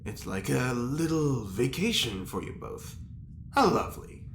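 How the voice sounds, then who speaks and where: A flamboyant, whimsical eccentric in an audio drama, theatrical and unhurried. The pitch swings widely, and the voice rings in a roomy space with clear noise behind it. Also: very animated. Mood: airy, amused. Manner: theatrical, drawn-out.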